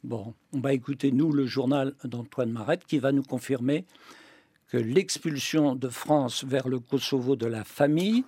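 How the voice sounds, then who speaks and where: Husky brisk radio host. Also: male voice, mid-range voice, warm tone, dry recording. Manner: brisk, direct questioning. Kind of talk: radio interview questions.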